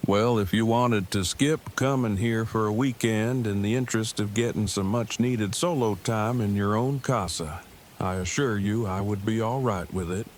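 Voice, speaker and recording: A man's voice: lowish voice, moderate pace, natural voice. An actor playing an earnest, awkward, bookish man in a post-apocalyptic audio drama, speaking in verbose, formal, precise phrases. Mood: formally tender. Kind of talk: fan audio drama acting. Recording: clearly noisy background.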